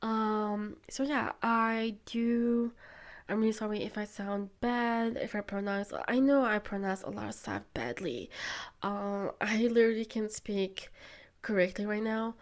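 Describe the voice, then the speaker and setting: A woman's voice: medium pitch, darkish tone. A young woman who is sick, hosting a solo podcast and giving her opinions in an earnest way. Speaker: podcast host.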